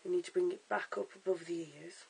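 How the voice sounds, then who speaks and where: A hoarse, croaky-voiced vlogger giving a casual at-home wig tutorial in a chatty, stop-start way, filmed on a camera's own mic. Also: mid-range voice, flat delivery, fast, a little hiss or hum, poor audio. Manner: chatty, stop-start with fillers and self-corrections. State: hoarse, lost voice. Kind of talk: casual how-to demonstration.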